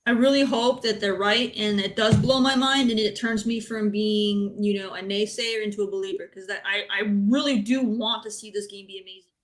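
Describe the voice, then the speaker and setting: A woman talks casually as a member of a podcast panel. Her voice sits at a medium pitch, and she speaks earnestly, with phrases that start over as she goes. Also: fairly even intonation, fairly quick, low-quality recording. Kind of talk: casual chat.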